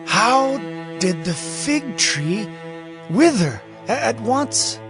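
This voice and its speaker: An actor playing an astonished disciple, slow and wondering, in a dramatized scripture reading. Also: mid-range voice, very animated, over music, heavily acted. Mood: amazed. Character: astonished disciple marveling at a miracle.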